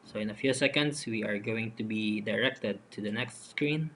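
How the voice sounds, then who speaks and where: Male software demonstrator, unscripted and halting, walking through screen steps, some noise behind the voice. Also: on the low side. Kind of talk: step-by-step screen tutorial narration. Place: voice-over for a screen recording. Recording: noticeable steady background noise.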